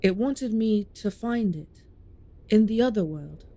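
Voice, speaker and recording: A woman's voice: mid-range voice, lively intonation, unhurried, dark tone. Proud female paladin, defiant, dry sardonic edge, mid-range voice, fantasy audio drama. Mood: earnest.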